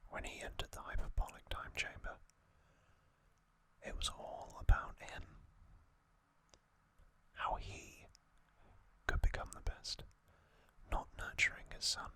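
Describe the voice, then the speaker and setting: Slow, crisp whispering ASMR host. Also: bright, forceful tone. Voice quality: breathy unvoiced whisper. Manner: slow, deliberate, with pauses between short phrases.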